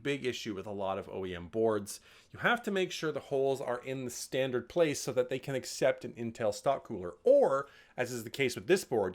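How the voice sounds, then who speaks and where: A man hosting a tech video, talking casually and jokingly as he explains hardware tips, swearing now and then and getting louder on each new tip, recorded indoors on a camera mic while he holds up parts. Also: medium pitch. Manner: jokey, swears casually, lively bursts on tip headings. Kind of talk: casual instructional talk.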